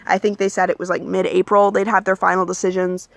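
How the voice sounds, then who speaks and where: A young adult woman chatting candidly in a casual advice vlog, with plenty of ums and likes, and she calls her own voice monotone. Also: medium pitch, fast talker, gravelly, no echo. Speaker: vlogger giving application advice.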